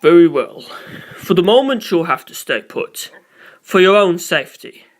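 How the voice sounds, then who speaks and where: A man voicing a calm, measured male astronaut and former priest in an audiobook-style story, speaking slowly and formally. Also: high-pitched. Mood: firm. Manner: slow, formal, deliberate questioning.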